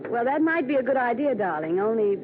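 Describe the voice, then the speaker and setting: A self-possessed noir schemer, a woman who speaks in clipped, understated deadpan. It is 1940s radio drama on a thin, narrow recording with clear noise throughout. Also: on the high side, brisk. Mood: controlled urgency. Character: cool, terse female noir schemer, guardedly self-possessed.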